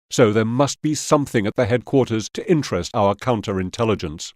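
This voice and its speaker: An actor playing a stern Soviet army officer giving scripted mission briefings in a war game. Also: male voice, mid-range voice, darkish tone, no room sound, studio quality, close to an everyday voice.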